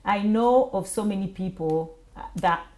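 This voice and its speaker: Animated woman hosting a chat. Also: mid-range voice, unhurried, low-quality recording. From Kenya. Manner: animated storytelling, acts out voices. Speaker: talk show host. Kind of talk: casual panel chat.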